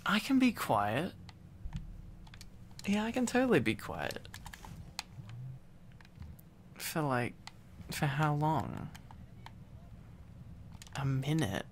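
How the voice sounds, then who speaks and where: Slow-talking needy boyfriend, playful young man. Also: fairly high pitch, big pitch swings, a little hiss or hum. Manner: playful, clingy, halting and repetitive. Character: needy, teasing, silly boyfriend. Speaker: ASMR roleplay performer. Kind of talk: boyfriend ASMR roleplay, playful rambling.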